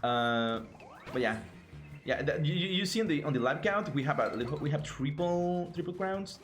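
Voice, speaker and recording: A man's voice: fairly high pitch, expressive, normal speed, fairly bright tone. Playful Mexican-accented man streaming a game. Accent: Mexican Spanish. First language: Spanish. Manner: playful, jokey, frequent laughter and stammering restarts. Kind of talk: casual live-stream commentary.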